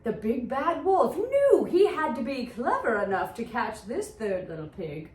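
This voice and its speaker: A woman reading a storybook aloud to preschoolers in a theatrical, expressive teacher's manner. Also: a little high, unhurried, recorded from a distance. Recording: echoey room.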